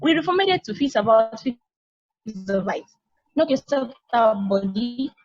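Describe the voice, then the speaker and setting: Woman reading a high, husky, wry sister probe. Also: animated, normal speed, no echo, dull audio. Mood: brisk, wry. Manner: casual, explanatory, wry. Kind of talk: amateur table read of a stage play.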